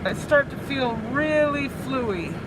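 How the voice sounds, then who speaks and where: A woman's voice: high-pitched, expressive, slow pace. A woman chatting casually on her daily video blog, feeling feverish after a flu shot, talking while driving on a winter road. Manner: chatty, rambling, laughs at herself. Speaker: vlogger. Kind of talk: casual chat. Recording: far from the mic, loud road noise.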